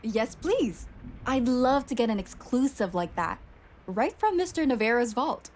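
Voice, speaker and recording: A woman's voice: a little high, animated, a little slow, a little hiss or hum. A woman voicing an earnest, probing journalist in a video game. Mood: curious, eager. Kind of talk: video game dialogue acting.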